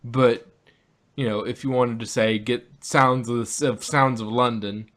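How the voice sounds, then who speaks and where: Man, medium pitch, casual podcast host, rambling, thinking aloud. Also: steady tone, measured pace, fairly bright tone. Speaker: podcast host reviewing audio dramas. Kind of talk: casual group discussion.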